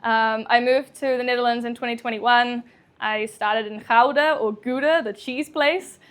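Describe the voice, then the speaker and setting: A young woman with a New Zealand accent, a chiropractor giving a live talk to an audience, nervous and chatty, laughing often and filling pauses with ums. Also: on the high side, steady tone, fairly bright tone. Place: indoor venue with audience.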